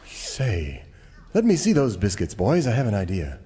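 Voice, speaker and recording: A man playing a stalwart cowboy leader, deliberate and mock-heroic, in a western radio parody. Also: fairly low pitch, wildly swooping pitch, mellow, husky, long reverb tail, full character voice. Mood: earnest. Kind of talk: parody of old-time western radio acting.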